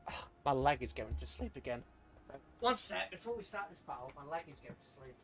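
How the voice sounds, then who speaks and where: A man's voice: mid-range voice, big pitch swings, a little fast, a bit bright. A British man casually commentating a strategy game on a livestream, chatting with viewers and muttering rambling asides to himself as he plays.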